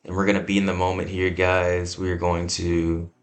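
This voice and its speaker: A man's voice: deep voice, monotone, normal speed. A man hosting a laid-back gameplay podcast just after waking up, his voice scratchy and drowsy, rambling easily with lots of fillers and restarts. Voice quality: scratchy morning voice. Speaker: let's-play host.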